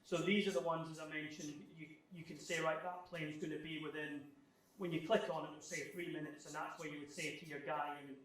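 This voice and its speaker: Man, somewhat higher voice, halting explanatory club talk, informal, distant microphone, roomy echo. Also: flat delivery, fairly bright tone. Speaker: guest speaker giving a technical club talk. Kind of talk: informal technical presentation with question answering. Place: club meeting room, live talk. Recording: echoey room, distant microphone.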